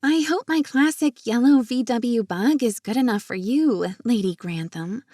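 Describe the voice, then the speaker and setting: A woman's voice: on the high side, unhurried, mellow, no echo, clean studio sound, voice pushed up. A woman voicing a bubbly, teasing young woman in audiobook character dialogue. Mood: playful. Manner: exclamatory, teasing. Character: bubbly, playful young woman out with her best friend.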